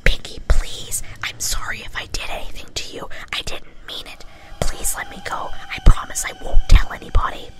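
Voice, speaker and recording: A woman's voice: moderate pace, close to an everyday voice, low-quality recording. A woman voicing a frightened, pleading female pegasus pony captive in a whispered storybook reading, urgent and desperate.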